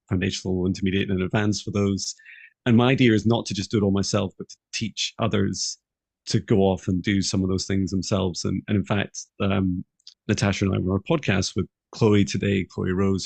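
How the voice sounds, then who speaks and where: A middle-aged man from Scotland introducing himself on a video call, earnest and halting with many fillers and restarts. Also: lowish voice, vocal fry, darkish tone, dry recording.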